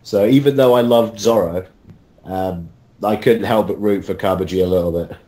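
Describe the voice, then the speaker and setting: A man chatting casually as a cast member in a group interview, halting and self-deprecating. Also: lowish voice, mellow. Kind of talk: casual chat.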